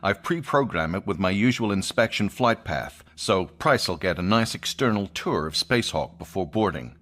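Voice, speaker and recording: A man's voice: low-pitched, expressive, moderate pace, fairly bright tone. A man playing a fussy, eccentric space commander with a pompous manner in a sci-fi audio drama. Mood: brisk, irritated.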